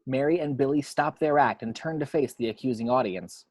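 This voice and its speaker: Clear-voiced man reading screenplay action. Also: medium pitch, a little fast, a little mellow, no echo. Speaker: reader of screenplay action lines. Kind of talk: scripted narration at a table read.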